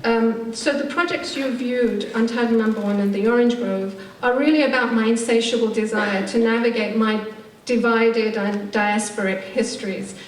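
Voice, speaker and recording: A woman's voice: fairly high pitch, fairly even intonation, moderate pace. A woman with an English accent giving a conference panel presentation in articulate, academic phrasing with some hesitation. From Turkish Cypriot immigrant. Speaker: theatre maker speaking on a conference panel. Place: conference room, recorded from a distance. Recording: echoey room, distant mic, background noise.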